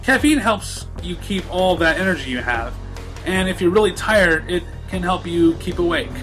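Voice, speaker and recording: A man's voice: high-pitched, expressive, moderate pace, fairly bright tone, faint music behind, pitched above the performer's own voice. A man voicing a mild, proud cartoon pony shopkeeper in a fan story, courteous and explanatory. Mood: hesitant explaining. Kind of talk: fan fiction story reading.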